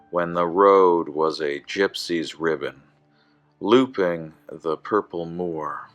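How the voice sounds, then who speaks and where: A man's voice: low-pitched, a bit bright. A man reading a narrative poem in a dramatic style. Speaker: poem reader.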